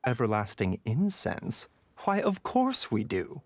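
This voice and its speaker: A man playing a sly, courteous pharmacy owner in a video game, soft and breathy, unhurried and genteel. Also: medium pitch, wildly swooping pitch, no room sound. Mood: sly salesman.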